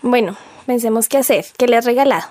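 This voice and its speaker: Woman doing bubbly pushy colombian bestie, super high voice, radio soap. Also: fast, dark tone, lightly acted. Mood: reassuring. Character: bubbly, pushy young female best friend.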